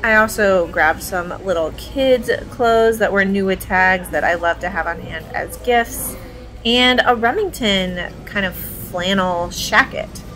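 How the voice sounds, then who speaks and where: A woman in her thirties talks like a reseller showing off her finds, informal and chatty, with a mid-range voice. She is recorded on a handheld phone in a big indoor space, with clear background noise around her. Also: medium pitch, measured pace, a bit bright, rough recording. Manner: informal, addresses viewers as guys. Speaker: thrift reseller vlogger. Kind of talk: casual chatty haul narration to viewers.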